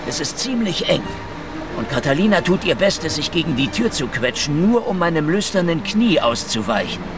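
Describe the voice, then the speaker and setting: A man's voice: a little high, sing-song, moderate pace, recorded from a distance, music in the background. An actor playing a sardonic, brazen gunman on the run in a German radio drama, wry and commanding.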